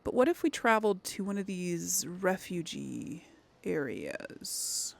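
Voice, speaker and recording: A woman's voice: lowish voice, sing-song, slow, creaky. A woman giving casual let's play commentary as host of a gaming series, chatty and thinking aloud as she plays. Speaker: host of a role-play video game series.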